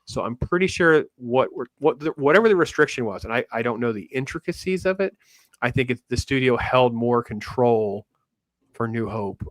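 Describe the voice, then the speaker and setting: A middle-aged man as a guest in a casual chat, explaining things in a wordy, informal, animated way. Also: medium pitch, moderate pace, no echo. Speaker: panel guest.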